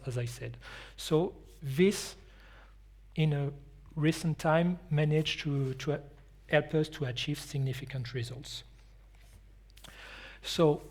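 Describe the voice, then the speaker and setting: A man presents at an industry expo and then takes audience questions. His voice sits a little high. He speaks English with non-native phrasing and stops to restart his sentences often. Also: darkish tone. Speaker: company anti-counterfeiting presenter. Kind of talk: conference presentation and Q&A.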